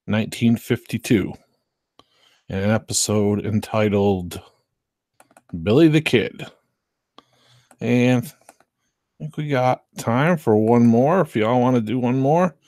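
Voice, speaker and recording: Slow-talking Southern American man, dry sound. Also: medium pitch, a little mellow, no room sound. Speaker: host presenting classic radio shows. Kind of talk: casual, unscripted introduction.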